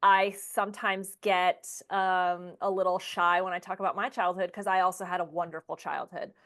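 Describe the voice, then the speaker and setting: A woman's voice: fairly low pitch, fairly even intonation, moderate pace, a bit bright. Chatty, affirming woman podcast host. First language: English. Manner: chatty, affirming, acts out imagined quotes. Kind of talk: casual chat interview.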